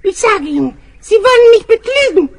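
A woman playing an indignant, cheating male boss in a children's radio play, shrill, with L in place of R. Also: big pitch swings, normal speed, full character voice. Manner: swaps R for L.

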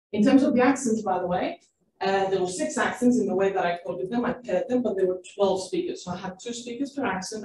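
A woman's voice: mid-range voice, a little mellow. A woman researcher presenting her study at an academic seminar, explaining at length with fillers and self-corrections. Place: seminar room. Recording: echoey room, distant mic, faint hiss.

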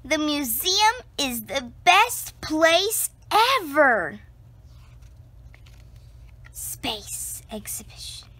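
A woman reading a children's picture book aloud, slow and expressive, close to the camera indoors. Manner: slow, expressive storytelling with lively pitch.

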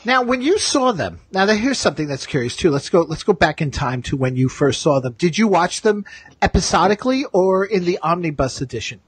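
A man's voice: sits high, big pitch swings, fairly quick, husky, no echo. A man hosting a podcast, chatting casually as a fan in a talkative, emphatic way. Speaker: podcast host.

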